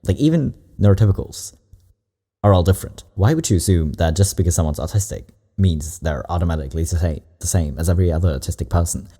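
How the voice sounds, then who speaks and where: A man with a very low, mellow voice that still swings up and down a lot. He chats casually through a reaction video, relaxed and rambling, tossing in quick asides. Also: slightly rough. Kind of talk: casual commentary on clips.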